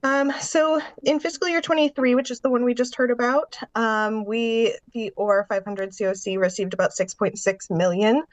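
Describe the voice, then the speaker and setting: A woman's voice: a little high, moderate pace, hardly any pauses, no room sound. A congested woman presenting a funding update at a public meeting, explaining figures and programs step by step over a video call. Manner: steady, explanatory walk-through of figures and programs. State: congested, under the weather. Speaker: staff presenter at a county board meeting.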